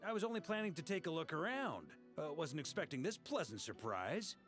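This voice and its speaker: An actor playing a jovial, easygoing grand master of knights in a video game, with a folksy, informal manner. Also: male voice, on the high side, big pitch swings, brisk, a bit bright, soft background music. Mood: pleased, casual. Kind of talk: English video game voice acting.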